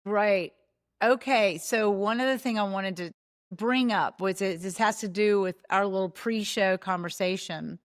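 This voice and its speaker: A woman's voice: medium pitch, measured pace. A woman hosting a podcast interview over a video call, enthusiastic and prompting her guest with questions. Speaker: podcast host and coach. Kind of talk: casual interview talk.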